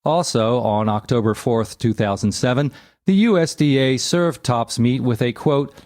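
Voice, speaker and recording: A man narrating an encyclopedia article aloud in a measured, factual, scripted delivery. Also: mid-range voice, a little mellow, no room sound, studio quality.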